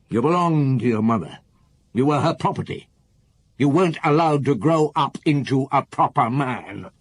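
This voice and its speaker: An actor playing a weary, probing middle-aged police chief inspector in a radio drama, deliberate and authoritative, with a husky voice. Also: male voice, mid-range voice. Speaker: lead actor and narrator in a radio detective drama.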